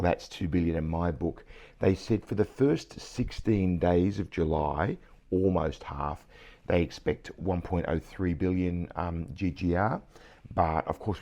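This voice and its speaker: A croaky, deep-voiced man in middle age hosts his vlog from indoors late at night. His tone is dark and mellow, and he keeps it hushed. He still brightens with excitement when a big number comes up. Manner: enthusiastic, excitable about numbers. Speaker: CEO and vlog host. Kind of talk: casual news commentary vlog.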